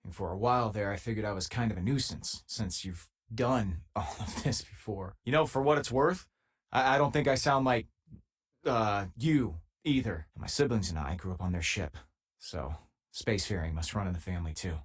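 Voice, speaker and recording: An actor playing an easygoing, wry spacefaring miner and loyal companion in a video game, with a husky voice and a casual, dry-humoured way of talking. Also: male voice, on the low side, normal speed. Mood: reflective, friendly. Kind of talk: scripted game voice lines, natural conversational delivery.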